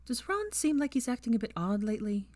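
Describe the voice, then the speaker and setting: A woman voicing a weary young witch, high and soft. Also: sing-song, fast talker, no echo. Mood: weary worried. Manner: soft, hesitant, sighing.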